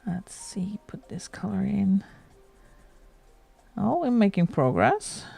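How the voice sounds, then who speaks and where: A woman's voice: medium pitch, sing-song, takes it slowly, creaky, mellow, breathy edge, soft background music. A middle-aged woman from the Netherlands with a Dutch accent, chatting casually and laughing often while she colours on a livestream. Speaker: livestream host.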